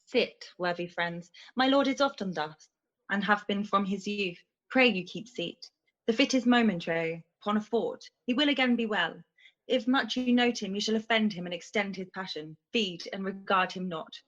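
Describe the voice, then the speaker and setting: Steely, scornful queen, female amateur actor, medium pitch, radio play verse, dullish call audio. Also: moderate pace, fairly bright tone, close to an everyday voice. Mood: controlled, urgent. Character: commanding, scornful queen covering for her faltering husband. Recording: slightly dull video call audio.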